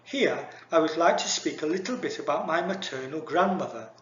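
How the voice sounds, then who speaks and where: A man giving a measured, formal university lecture read from a script. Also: on the high side, lively intonation, a bit bright. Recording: echoey dull lo-fi recording.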